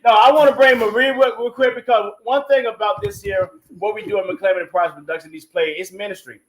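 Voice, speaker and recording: A man chatting casually as a play producer, telling stories in an animated way and acting out bits of conversation. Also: high voice, brisk. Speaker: stage play producer and guest. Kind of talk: casual conversational storytelling at a group interview. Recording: roomy, echoey room sound.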